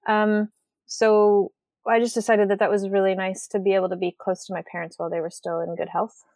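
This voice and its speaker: A woman's voice: medium pitch, flat delivery, a little fast, darkish tone, no echo. An American woman from western Washington who grew up speaking Swedish, talking casually as an interview guest. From rural western Washington state, USA. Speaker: podcast guest, writer and artist.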